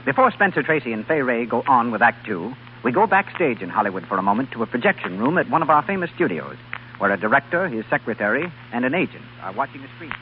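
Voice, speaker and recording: A man's voice: mid-range voice, sing-song, fast talker. A man announcing in 1930s radio style, as a scripted presenter of a drama broadcast. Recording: muffled 1930s radio, faint hiss.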